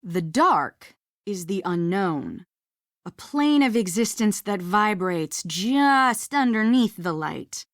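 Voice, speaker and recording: A mysterious female witch guide in a video game. She speaks slowly and theatrically, with ominous ghost-story drama, in a dry, close recording. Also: mid-range voice, lively intonation, no echo.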